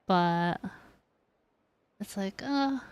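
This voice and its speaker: A woman's voice: medium pitch, lively intonation, very slow, clear, resonant tone. A woman chatting casually to viewers as she streams a game. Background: game music.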